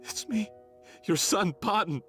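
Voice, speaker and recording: Husky, distraught young son. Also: male voice, high voice, animated, unhurried, bright, forceful tone, soft background music, full character voice. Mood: shocked, pleading. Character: desperate, distraught son pleading with his dying mother. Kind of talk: video game voice acting.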